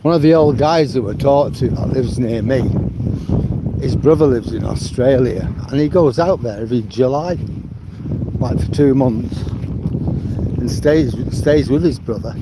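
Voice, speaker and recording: A man's voice: mid-range voice, unhurried. A man in his seventies from Manchester with a Mancunian accent, chatting on a live stream and greeting viewers by name as he walks by the sea. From Manchester, England. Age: 70s. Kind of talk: casual live-stream chat reading viewer comments. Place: seaside promenade outdoors at night. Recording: loud wind noise on the mic. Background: wind and sea noise.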